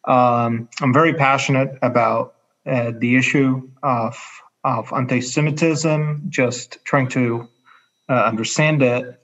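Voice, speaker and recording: A man from Egypt with an Egyptian Arabic accent, an educator speaking as an interview guest in a halting way, searching for words. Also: mid-range voice, steady tone, fairly bright tone. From Cairo, Egypt. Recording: dull, muffled lo-fi audio.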